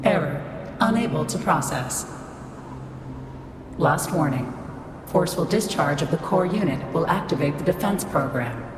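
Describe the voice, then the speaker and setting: An actress voicing a cold, imperious supercomputer in a video game, speaking in formal, clipped, emotionless and rigidly logical phrases. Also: female voice, low-pitched, lively intonation, normal speed, pauses often, noisy background. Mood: stern, unyielding. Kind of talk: video game cutscene voice acting. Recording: echoey long reverb under clear music.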